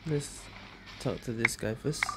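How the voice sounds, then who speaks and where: Female streamer, very deep voice, slow casual game commentary, noisy recording with clicks. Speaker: gaming streamer.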